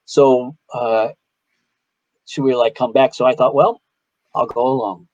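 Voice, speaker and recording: A man's voice: mid-range voice, fairly even intonation, measured pace, a little mellow, no echo. A man with a Chicago accent wanders through anecdotes, restarting his sentences as he goes. The sound is dry and a little muffled, as over a video call. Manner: rambling, self-interrupting storyteller. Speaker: guest artist. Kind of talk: casual video-call interview.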